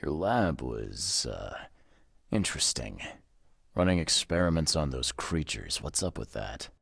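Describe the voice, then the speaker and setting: An actor playing a gruff, wry, world-weary private investigator in a video game, speaking laconically in a husky voice. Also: male voice, big pitch swings, unhurried, a bit bright, dry recording, studio quality, full character voice. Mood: wry, suspicious. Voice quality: husky, gravelly low voice. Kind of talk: video game dialogue acting.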